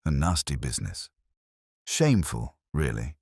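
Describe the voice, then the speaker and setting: A man's voice: very deep voice, big pitch swings, unhurried, a little mellow, breathy edge, no room sound, studio quality, exaggerated voice. A man voicing a weary, nervous, guilty caretaker in an audio drama, hesitant and confessional. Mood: nervous, defensive. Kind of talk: audio drama character reading.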